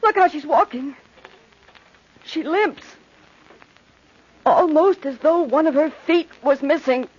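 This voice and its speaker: A woman's voice: high-pitched, slow, pauses often. An actress playing an anxious, devoted young woman full of dread, earnest and melodramatic in old radio horror style. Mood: anxious, pleading. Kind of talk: old-time radio horror acting and narration. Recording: muffled old radio recording.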